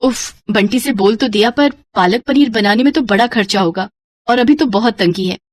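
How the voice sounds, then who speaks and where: A woman's voice: fairly high pitch, normal speed, close to an everyday voice. A woman voicing an affectionate, sometimes anxious young village mother and wife in a cartoon story. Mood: worried. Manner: affectionate, expressive.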